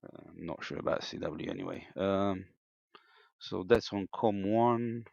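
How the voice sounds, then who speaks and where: A man giving an unscripted, step-by-step technical demonstration in a hesitant, halting way, in a slightly muffled room recording. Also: on the low side, creaky, darkish tone, no echo. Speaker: amateur radio operator demonstrating equipment.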